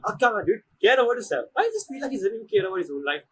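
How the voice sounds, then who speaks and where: A man giving casual game reaction commentary, often repeating his phrases in an animated way. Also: sits high, racing, slight background noise. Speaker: game streamer.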